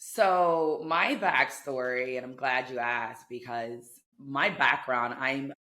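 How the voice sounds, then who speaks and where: A young woman talks about her life as a podcast guest. Her voice is bright and forceful, and she speaks enthusiastically, rushing through some phrases. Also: extremely low pitch. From United States. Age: young adult. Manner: warm, enthusiastic, quick bursts of speech. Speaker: guest, real estate entrepreneur. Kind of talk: casual interview chat.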